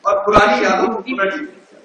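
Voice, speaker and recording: Bright-voiced man echoing in a hall. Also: high voice, fairly even intonation, fast talker, a little hiss or hum. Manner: nostalgic, jokey letter-writing tone. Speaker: stage actor reading a letter. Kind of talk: stage play monologue. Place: large auditorium. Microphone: unamplified stage, far from the recorder. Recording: echoey hall from far off.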